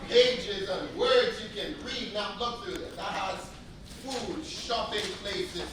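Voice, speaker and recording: A man's voice: high-pitched, slow pace. An actor on a live stage playing a fussy, formal young man who lectures a newcomer at length. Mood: lecturing. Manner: formal, overly polite and long-winded. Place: large theatre stage. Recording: echoey hall picked up from far off, noisy.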